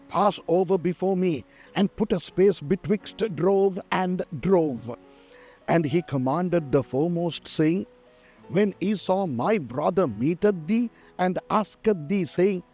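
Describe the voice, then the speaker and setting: A man with a high, soft-toned mellow voice reads scripture as a pastor. He takes his time, shaping each phrase in a solemn, measured way. Light music plays behind him. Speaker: pastor reading scripture aloud. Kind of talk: solemn scripted Bible reading. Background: background music.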